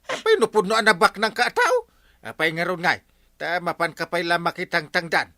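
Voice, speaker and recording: A man's voice: high voice, big pitch swings, moderate pace, sharp tone, no room sound, studio quality, heavily acted. Man acting a hot-tempered, wildly swooping village husband. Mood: furious, confronting. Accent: Ilocano. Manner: blustering, exclaiming, confrontational. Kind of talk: Ilocano comedy radio drama acting.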